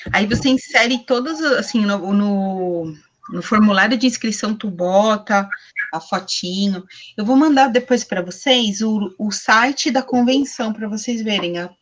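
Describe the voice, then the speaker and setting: A woman's voice: mid-range voice, lo-fi sound. Workshop teacher, woman in her forties, chatty explanatory tone, laptop webcam at home. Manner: chatty, explanatory, asks herself questions. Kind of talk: online class teaching. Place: small room at home. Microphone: laptop webcam on video call.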